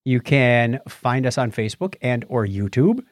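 A man's voice: medium pitch, lively intonation, moderate pace, dry recording, studio quality. A man co-hosting a podcast in a playful, informal way, moving between casual chat and read-out items. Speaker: podcast co-host.

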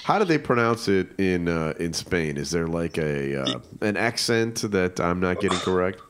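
Co-host, male, very low voice, easygoing and jokey sports chat. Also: expressive, normal speed, clear, resonant tone. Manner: laid-back, joking, hesitant with fillers. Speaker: podcast co-host.

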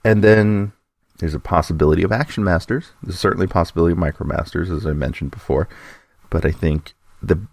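A man's voice: low-pitched, fast talker, creaky, a little mellow, dry recording. A man hosting a podcast segment with informal commentary, speculating and thinking aloud as he talks. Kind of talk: informal toy-news commentary.

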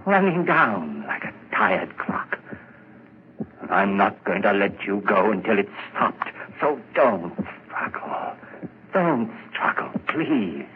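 A deep, slow, obsessive killer. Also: male voice, very animated. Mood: menacing. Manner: whispering, obsessive, menacing. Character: tense male murderer stalking in the night. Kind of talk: 1940s radio acting. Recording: muffled old radio broadcast with hiss.

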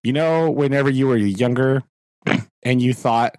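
A man co-hosting a casual podcast, talking in a loose, rambling way full of fillers and quick laughs. His voice sits in a middle pitch, recorded close and dry, and he clears his throat from time to time. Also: darkish tone, no echo, low-quality recording. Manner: chatty, rambling, laughs often, many fillers. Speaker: podcast co-host, teacher.

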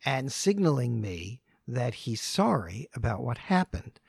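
A man's voice: medium pitch, sing-song, measured pace, vocal fry, darkish tone, breathy edge, no echo, clean studio sound. A man co-hosting a podcast, telling stories in a thoughtful, casual way with frequent restarts. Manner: storytelling, thoughtful, many restarts. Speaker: podcast co-host.

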